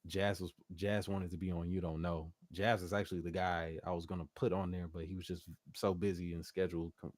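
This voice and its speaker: Deep-voiced man chatting as interview guest. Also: flat delivery, fairly quick, few pauses, no echo. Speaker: interview guest, R&B singer and producer. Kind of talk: casual interview chat.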